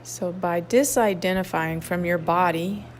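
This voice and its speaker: A woman guiding a meditation, reading instructions in an unhurried way with long pauses. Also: on the low side. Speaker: meditation guide at a wellness retreat. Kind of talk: guided meditation, read aloud softly. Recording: clearly noisy ambient background.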